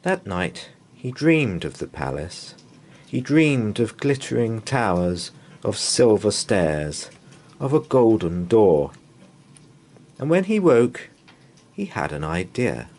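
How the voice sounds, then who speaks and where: A man reading a children's bedtime story aloud in an expressive, dramatic way with drawn-out pauses. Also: on the low side. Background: open fire ambience.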